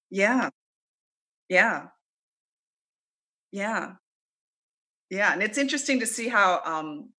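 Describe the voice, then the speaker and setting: A middle-aged woman talks in a bright, clear-edged voice. She chats loosely in a relaxed interview, filling pauses with ums and laughing easily. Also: on the low side. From Canada. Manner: chatty, with frequent ums and laughs. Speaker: interview guest. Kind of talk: casual conversational interview.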